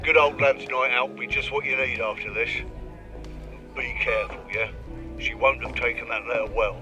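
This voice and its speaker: A man's voice: mid-range voice, fairly even intonation, moderate pace, over music, natural voice. An actor playing a laddish, supportive male friend in a radio drama. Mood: matey. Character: laddish, supportive male mate giving advice.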